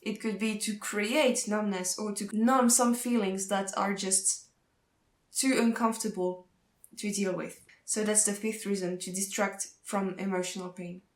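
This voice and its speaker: A woman's voice: mid-range voice, fairly even intonation, roomy sound. A young woman from Switzerland whose first language is French, speaking English with a French accent, earnestly explaining a topic in a vlog. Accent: Swiss French. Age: young adult. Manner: earnest, explanatory, pauses to find words. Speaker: social work student. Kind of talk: informational vlog.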